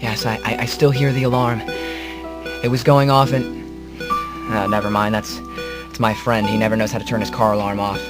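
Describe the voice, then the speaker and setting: A man playing an earnest, hapless hero who narrates his story in a halting, rambling, melodramatic way in a comedic radio drama. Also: on the low side, level tone, music in the background, lightly acted.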